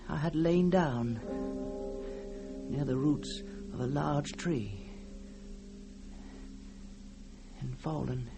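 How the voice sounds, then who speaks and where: An actor playing a haunted, guilt-ridden man who recounts a nightmare in a halting, stammering, theatrical way, as in an old-time radio mystery. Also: fairly high pitch. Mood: brooding, halting. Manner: halting, stammering, theatrical delivery with repeated words. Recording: old radio drama with music underneath.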